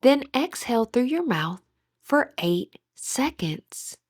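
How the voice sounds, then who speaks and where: A woman with a breathy voice gently leading a guided meditation as an instructor, in measured, scripted phrases. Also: on the high side, big pitch swings, no echo, studio quality.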